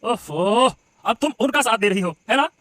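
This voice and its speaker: A man voicing a cocky, quarrelsome, mischief-loving husband in a cartoon story, gloating and dismissive with drawn-out exclamations. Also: high voice, lively intonation, fairly bright tone, faint music behind. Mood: dismissive, defensive. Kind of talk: Hindi cartoon story dialogue.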